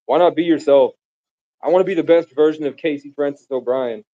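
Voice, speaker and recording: A man's voice: fairly high pitch, a little fast, dark tone, no room sound, dull audio. A man from the Bronx, raised in Vermont, rambling grandly and casually to his viewers on a live stream. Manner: grandiose, meandering, casual slang. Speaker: livestreamer.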